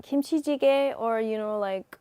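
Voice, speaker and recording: A woman's voice: fairly high pitch, moderate pace, warm tone, clear, resonant tone, no room sound. A woman guest on a radio show, chatting casually and telling stories with frequent restarts. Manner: chatty, storytelling, hesitant restarts, laughs. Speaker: guest, K-pop singer.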